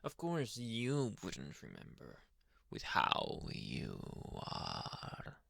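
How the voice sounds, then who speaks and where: A young male werewolf, acted out by a man, murmurs close and dry in an ASMR roleplay. He is slow and gravelly, slipping into whispers, tender with a possessive menace underneath. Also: low voice, wildly swooping pitch, a bit bright, dry recording, exaggerated voice. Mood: coaxing, possessive. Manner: intimate, halting, breathy murmurs drifting into whispers. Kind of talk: ASMR roleplay addressed to the listener.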